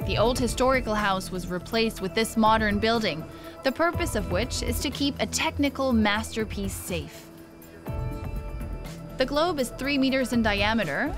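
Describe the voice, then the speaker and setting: A young adult woman presenting a travel show, speaking in clear, measured scripted narration. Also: a little high.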